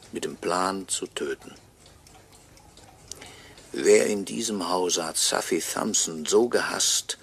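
A man playing a grave, brooding dead narrator of a radio murder mystery, measured and ominous. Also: deep voice, gravelly, fairly bright tone, slight background noise, full character voice. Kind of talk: dramatic radio play narration.

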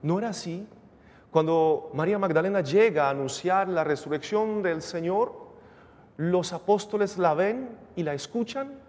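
A man in his forties, a priest giving a measured, earnest sermon at a lectern microphone in a chapel. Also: sits high, darkish tone. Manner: measured, earnest preaching with rising emphasis. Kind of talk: sermon at Mass. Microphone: gooseneck lectern microphone. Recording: reverberant chapel sound.

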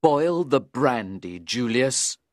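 Drunk young man in an audiobook, loud n bossy, really slow slurry drawl. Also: mid-range voice, animated, no room sound, clean studio sound, pitched above the performer's own voice. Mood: drunk, insistent. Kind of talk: audiobook character voice.

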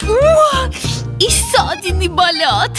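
Cartoon role: sensitive, fretful little lady pig, extremely high voice, slow delivery, music underneath. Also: sing-song, exaggerated voice. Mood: plaintive, upset. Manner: plaintive, fretful. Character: tearful, sensitive female winged pig who dotes on plants. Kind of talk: children's cartoon acting. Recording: music behind the voice.

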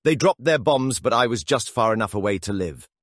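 A man voicing a scheming, obsequious demon lord in a theatrical, shrill audiobook performance. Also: animated, a little fast, a bit bright, no echo, clean studio sound. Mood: pleading, fawning. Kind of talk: audiobook character acting.

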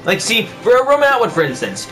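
Lively young man, higher-pitched voice. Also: quick pace, faint music behind. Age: young adult. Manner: profane, joking. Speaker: vlogger. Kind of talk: casual banter. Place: small bedroom. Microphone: webcam or console camera a short way off.

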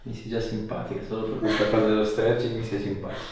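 A man's voice: lowish voice, little pitch movement, quick pace. A man, working as a chiropractor and giving casual instructions to a patient during an exam. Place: small indoor clinic treatment room. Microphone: small wireless mic clipped on chest. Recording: echoey treatment room, faint noise.

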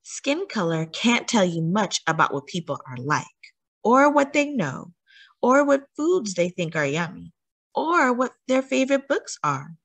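Woman reading aloud, lively swooping pitch. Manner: deliberate, teacherly emphasis with questions to young listeners. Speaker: children's story time host reading a picture book aloud. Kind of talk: read-aloud storytelling for children, with asides and questions.

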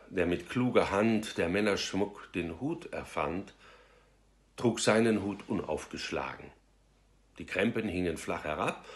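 Theatrical middle-aged man reading, very animated intonation. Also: deep voice. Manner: theatrical, deliberate, with emphatic pauses. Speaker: storyteller reading aloud. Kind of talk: dramatic literary reading of a verse fable. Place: small room at home, indoors.

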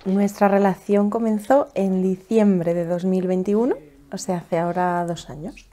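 A woman's voice: mid-range voice, fairly even intonation, dark tone. A woman in her thirties from La Mancha, thoughtfully describing her relationship in a home interview. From La Mancha, Spain. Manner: thoughtful, measured, some humming pauses. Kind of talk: personal account. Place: living room at home, indoors.

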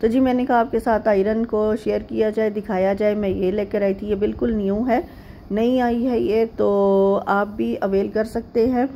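A middle-aged woman from Pakistan narrating a home vlog in a rambling, chatty way, with frequent pauses and fillers. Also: mid-range voice, flat delivery, mellow, a little hiss or hum. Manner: homely, chatty tone. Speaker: vlogger. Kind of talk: casual chatty voice-over narration.

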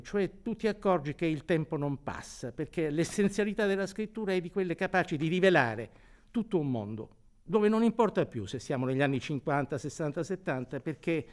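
A man gives scholarly commentary, phrasing deliberately and emphatically and correcting himself as he goes. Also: fairly high pitch. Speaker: university professor of performing arts. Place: dark theatre stage, indoors.

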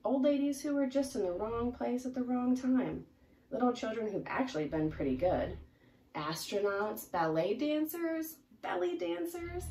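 A young adult woman presenting a picture-book read-aloud in an expressive, emphatic way for young children. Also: fairly high pitch. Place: small indoor room, seated in armchair. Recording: roomy, distant mic, faint noise.

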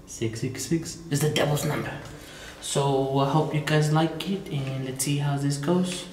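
A young man, hosting a casual vlog and telling rambling, joking stories while filming himself in a dark abandoned prison. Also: a little high, fairly even intonation, a little slow, very noisy. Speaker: vlog host. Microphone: handheld camera at arm's length. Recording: echoey concrete halls with music.

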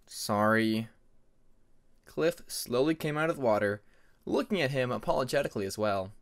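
A man's voice: medium pitch, animated, brisk, creaky. A man narrating a fantasy story as an amateur audiobook reader, in a dramatic scripted style.

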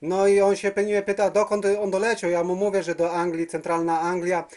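A man's voice: high voice, level tone, fairly quick, darkish tone. A man chatting casually as a CB radio operator, hesitating and repeating words. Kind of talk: casual radio contact chat. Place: at a home radio base station.

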